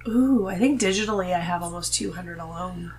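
A woman's voice: fairly low pitch, fairly quick, a little mellow. Woman chatting casually over background noise. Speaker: podcast guest, host's wife. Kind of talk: casual conversational chat.

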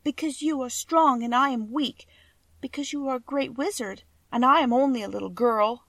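Woman doing a meek earnest little girl, high and pleading. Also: normal speed, fairly bright tone, dry recording.

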